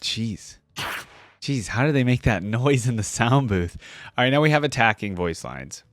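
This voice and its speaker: A man's voice: medium pitch, animated, moderate pace, dry recording, studio quality. A man giving casual, musing reaction commentary on a game as the host.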